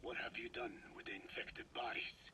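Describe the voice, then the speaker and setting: An actor playing an ancient male scientist in a video game, speaking in a measured, formal, precise way with a whispery voice. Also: sharp tone, slight background noise. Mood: calm, instructive.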